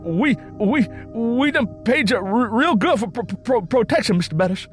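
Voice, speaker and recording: A man acts out a scared farmhand in a high, strained register. He stammers and repeats himself, pleading humbly as in a dramatized audio story. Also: animated, normal speed, soft background music. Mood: frightened pleading.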